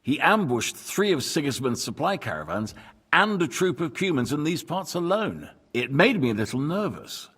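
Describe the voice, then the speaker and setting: An actor in a video game cutscene playing a courteous, cautious lord of a medieval fortress, formal and guarded, with a husky voice. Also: male voice, a little high, big pitch swings, normal speed, fairly bright tone, heavily acted. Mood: wry, cautious.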